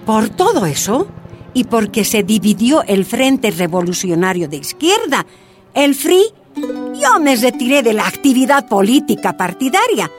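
A woman's voice: fairly high pitch, big pitch swings, unhurried, faint music behind. An actress playing a resolute activist recounting her life, in a radio drama. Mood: reflective, resolute. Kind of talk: first-person testimony in radio drama.

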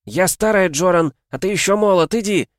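A man voicing a commanding old female ship captain in an audiobook, shouting urgently and forcefully. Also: high-pitched, fairly quick, dry recording, studio quality, pitched above the performer's own voice, lightly acted. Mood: fierce, commanding. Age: older. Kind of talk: dramatic audiobook character voice.

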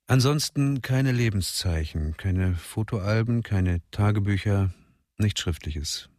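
A man narrating a radio crime drama in a measured storytelling voice. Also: lowish voice, darkish tone, no room sound, clean studio sound. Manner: measured, present-tense storytelling.

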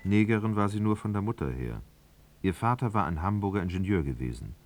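Low-pitched man narrating a radio drama. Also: big pitch swings, a little fast, background noise.

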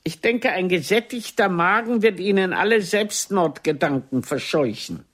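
A woman playing a proud, bitter, sharp-tongued aging stage actress in a radio drama, with a haughty, theatrical delivery. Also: fairly low pitch, animated, normal speed. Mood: cold, formal reading. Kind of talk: radio drama dialogue acting.

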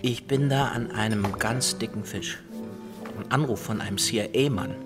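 An ambitious, self-important husband in a radio play, acted by a man. He confides and boasts eagerly in a medium-pitched voice, with music clearly behind him. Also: natural voice. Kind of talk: radio drama acting.

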